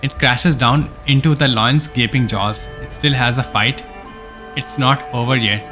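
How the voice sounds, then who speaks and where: A man's voice: medium pitch, steady tone, a little slow. Male game streamer reading aloud over music. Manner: stumbles over words and corrects himself while reading. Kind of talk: live let's play, reading game story text aloud with casual asides.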